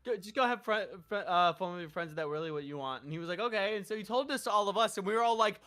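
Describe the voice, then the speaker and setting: A woman's voice: medium pitch, rapid-fire, dry recording. A woman streaming a game, switching between casual, sweary chat and read-aloud lines, with music behind her. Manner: sweary, expressive.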